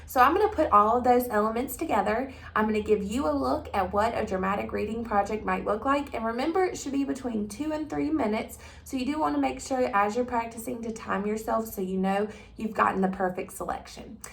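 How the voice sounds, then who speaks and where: A woman presenting in an instructive, expressive way, as for a tutorial or a storybook reading. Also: fairly high pitch, a little fast, creaky, few pauses. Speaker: 4-H agent, presenter. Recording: roomy echo, distant microphone.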